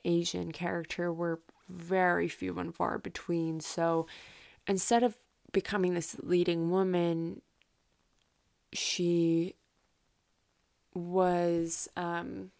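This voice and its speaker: Creaky-voiced woman podcaster, casual and rambling. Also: fairly low pitch, flat delivery, takes it slowly, pauses often, darkish tone. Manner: informal, rambling, self-interrupting with asides. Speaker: podcast host. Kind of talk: casual solo podcast storytelling about history.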